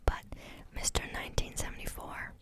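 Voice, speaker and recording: A woman reading a book aloud in a soft, unvoiced whisper, steady but sometimes stumbling on a word and starting it again. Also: moderate pace. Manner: reads steadily, stumbles and restarts on words. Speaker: reader.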